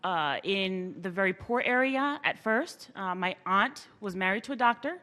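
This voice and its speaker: A woman's voice: mid-range voice, lively intonation, moderate pace, pushed and bright. Earnest woman telling her story. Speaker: panelist. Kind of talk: personal story on a panel.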